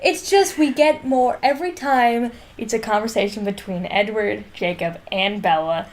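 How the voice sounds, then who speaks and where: A woman's voice: medium pitch, moderate pace. A woman co-hosting a casual book discussion podcast, speaking with sardonic, sweary exasperation. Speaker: podcast co-host.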